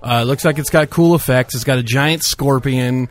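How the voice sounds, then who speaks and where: Dude co-hosting a film and comics podcast, casual, average pitch. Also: male voice, normal speed. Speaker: podcast co-host. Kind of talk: casual chat about films and comics.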